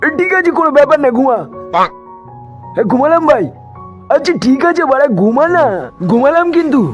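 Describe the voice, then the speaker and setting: A man voicing an excitable, foul-mouthed young goofball in a crude comedy cartoon, exclaiming in slangy talk. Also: very high-pitched, a little fast, music in the background. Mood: irritated.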